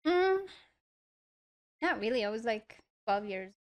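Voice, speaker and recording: Soft-spoken young woman with an Arabic accent. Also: a little high, sing-song, slow pace. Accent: Moroccan Arabic. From Morocco. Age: young adult. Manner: soft, hesitant, many fillers, giggly. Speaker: podcast guest. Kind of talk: casual interview chat. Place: small indoor studio, on a couch. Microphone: lavalier mic.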